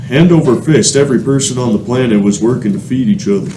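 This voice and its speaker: A man's voice: mid-range voice, quick pace, natural voice. A man narrating a radio play in a scripted, dramatic storytelling style. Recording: echoey room, faint noise.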